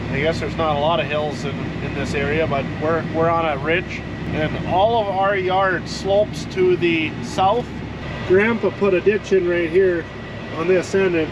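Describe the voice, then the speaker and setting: A man's voice: high-pitched, noisy background. A farmer with a head cold, talking casually about his chores while working outdoors and in a tractor cab. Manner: plainspoken, rambling, explains farm chores. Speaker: farmer vlogger.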